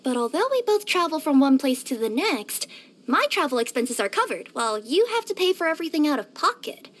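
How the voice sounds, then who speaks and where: Super high pitched cute cat girl courier for a video game, eager n cheery, really animated. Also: a little fast, slight background noise. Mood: warm, chatty. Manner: expressive, cutesy, with gasps and hesitant murmurs. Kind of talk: scripted video game character voice lines.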